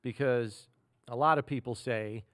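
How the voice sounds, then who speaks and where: A man's voice: medium pitch, measured pace, a bit bright, dry recording. An American man hosts a sports podcast with a mid-range voice. He sets up questions and lays out the scenarios, recorded dry with no room sound. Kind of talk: sports talk, questions and analysis.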